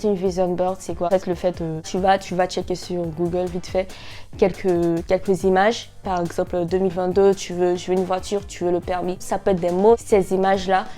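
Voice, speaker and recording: A woman of nineteen chatting casually to camera as a vlogger, in an animated, slangy way with English words mixed in. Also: medium pitch. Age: 19, nearly twenty.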